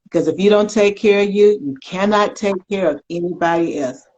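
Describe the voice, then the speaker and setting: A woman hosting a live online interview show with an emphatic, deliberate, announcer-like delivery. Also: medium pitch, darkish tone. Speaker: show host. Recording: slightly dull, lo-fi stream.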